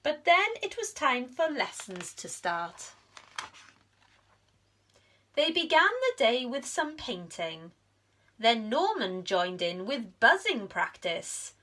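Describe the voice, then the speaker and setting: A woman narrates a storybook for young children in a bright, fairly high voice. She takes her time, reading very slowly and leaning into dramatic emphasis like a teacher in front of a class. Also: sing-song.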